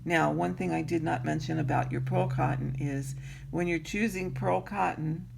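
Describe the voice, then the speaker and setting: A woman with a raspy voice teaching needlework in an easygoing tutorial, pausing often with ums and clearing her throat. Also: low voice, fairly even intonation, background noise. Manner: slow, unhurried, frequent ums and throat clearing. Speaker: embroidery teacher. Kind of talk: instructional craft tutorial.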